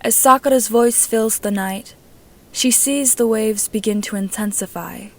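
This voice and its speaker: A woman's voice: fairly high pitch, a little slow. A woman reading a scripted story aloud as an amateur narrator.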